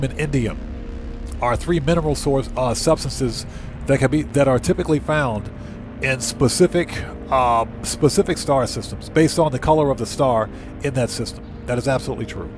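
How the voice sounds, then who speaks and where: A man with a medium-pitched voice hosts a live gaming stream. He talks in an informal, conversational way, commenting on play and addressing his viewers. Faint music plays behind him. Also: normal speed, gravelly. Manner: conversational, informal, reads out chat and answers it. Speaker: live streamer, channel host. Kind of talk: casual gaming livestream commentary, chatting with viewers. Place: indoor room at home.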